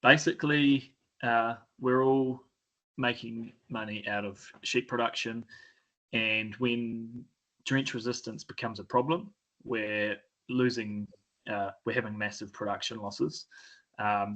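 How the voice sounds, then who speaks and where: A man with a New Zealand accent giving an informal presentation, often pausing mid-phrase in a hesitant way. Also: fairly low pitch, bright, forceful tone, poor audio. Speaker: sheep and beef farmer, presenter.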